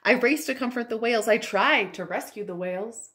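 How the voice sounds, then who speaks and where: Expressive woman reading to young children. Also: on the high side. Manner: expressive, full of wonder, drawn-out emphasis. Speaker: children's librarian hosting storytime. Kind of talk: picture-book read-aloud with asides to young children. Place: living room at home, indoors.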